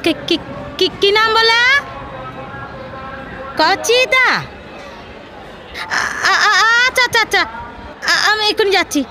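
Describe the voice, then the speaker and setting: A woman's voice: extremely high pitch, slow pace, long pauses, a crowd in the background, background noise. A woman playing a flustered, naive, talkative housemaid in an audio drama, with a rural West Bengali accent and a folksy, hesitant manner.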